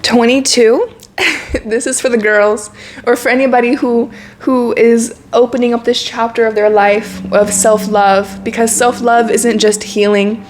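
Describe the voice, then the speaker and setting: A woman's voice: a little high, fairly even intonation, measured pace, slight background noise. A woman in her twenties talking to camera in a casual, reflective monologue, candid and earnest, with slang and frequent laughs. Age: 20s. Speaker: vlogger.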